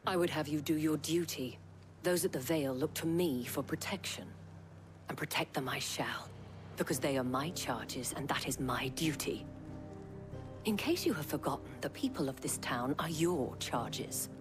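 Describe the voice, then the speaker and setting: Game role: commanding woman guarding her people, deep female voice, firm speeches, score underneath. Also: expressive, normal speed. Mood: stern, rallying. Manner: firm, stern, oratorical. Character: commanding, righteous lady protector of townsfolk. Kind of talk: dramatic game cutscene acting. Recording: music behind the voice.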